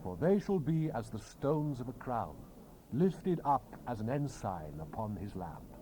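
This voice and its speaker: Guy doing dramatic old king james bible narration, solemn n declamatory, really animated pitch, bit of cassette hiss. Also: measured pace, rough recording. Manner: solemn, declamatory, theatrical delivery. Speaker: scripture narrator. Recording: hissy 1970s cassette rip.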